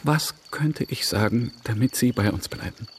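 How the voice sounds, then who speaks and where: An actor in a radio drama playing a reserved, troubled gentleman with a restrained, courteous manner. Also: medium pitch, moderate pace, slight background noise, natural voice. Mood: subdued.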